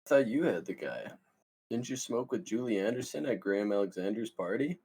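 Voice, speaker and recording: Man acting as excitable slacker guy, husky and animated. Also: lowish voice, a little fast, heavily acted. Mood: casual. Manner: casual slangy excitable delivery. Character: eager, scheming young male stoner slacker. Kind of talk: comedy film table read.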